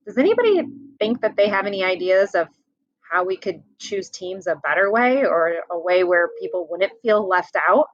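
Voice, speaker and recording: A woman teacher reading a picture book aloud to children, gently pausing to ask them questions about the pictures. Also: medium pitch, lively intonation. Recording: dull lo-fi recording.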